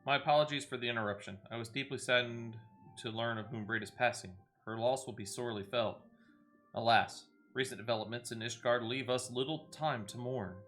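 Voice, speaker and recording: A man voicing a courteous leader of a fantasy order in a video game, speaking with measured, archaic formality. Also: medium pitch, a bit bright, soft background music, close to an everyday voice. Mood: solemn, courteous.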